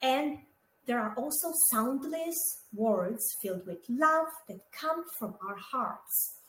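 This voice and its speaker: A woman's voice: high-pitched, lively intonation, takes it slowly, fairly bright tone. A middle-aged woman from Romania, co-hosting a podcast in a chatty, casual way, with many fillers and asides to her listeners. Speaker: podcast co-host. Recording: echoey room with faint background noise.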